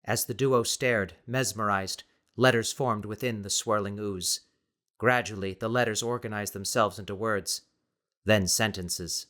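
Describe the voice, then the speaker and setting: A man's voice: on the low side, fairly even intonation, unhurried, a bit bright. A man reading scripted fiction as a dramatic audiobook narrator.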